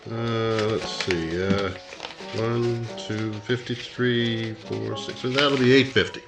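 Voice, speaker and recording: Low-pitched, courteous bartender acted by a man. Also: fairly even intonation, takes it slowly, gravelly, music in the background. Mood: businesslike. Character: polite, matter-of-fact bartender closing up for the night. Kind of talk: 1950s radio drama acting.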